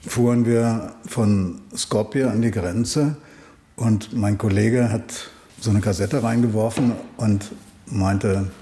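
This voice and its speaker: A middle-aged man interviewing a guest, asking thoughtful, probing questions in a relaxed way. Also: lowish voice, slow pace. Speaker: interviewer, former correspondent. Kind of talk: conversational interview.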